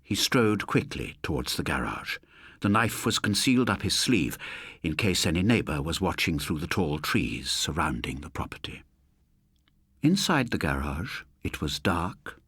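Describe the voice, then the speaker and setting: A man with a low, husky voice reads a suspense story as an audiobook narrator. His delivery is theatrical and measured, with very animated intonation. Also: no echo, clean studio sound.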